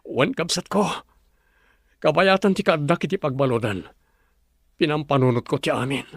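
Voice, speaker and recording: An actor with an Ilocano accent playing a remorseful, earnest older brother freed from prison, in a drama. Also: male voice, fairly high pitch, expressive, moderate pace, no room sound, studio quality. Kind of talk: drama dialogue.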